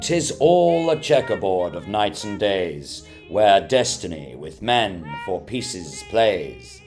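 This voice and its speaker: A man's voice: deep voice, very animated, slow pace, darkish tone, faint music behind. A man announcing a classic radio drama in a formal, declamatory, theatrical style.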